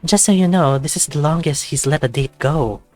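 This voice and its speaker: A man's voice: a little high, moderate pace, a little mellow, soft background music, pitched above the performer's own voice, natural voice. A man voicing a bubbly, gossipy female fossa barista, an animal character in a visual novel read aloud. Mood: eager, confiding. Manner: eager, gossipy, exaggerated whispering.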